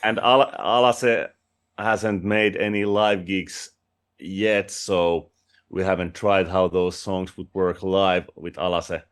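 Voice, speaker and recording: A man's voice: low-pitched, fairly even intonation, takes it slowly, clean tone. A man whose first language is Finnish, a musician guest speaking English with a Finnish accent in a casual, friendly interview with restarts and light laughs. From Finland.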